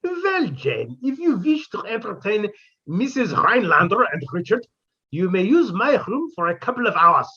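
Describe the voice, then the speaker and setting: A woman's voice: medium pitch, very animated, normal speed, sharp tone, husky, dull audio, full character voice. A woman playing a pompous old professor. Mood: grand, fussy. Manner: florid, pompous, theatrical delivery. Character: eccentric old male professor neighbor. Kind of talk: 1950s radio comedy acting.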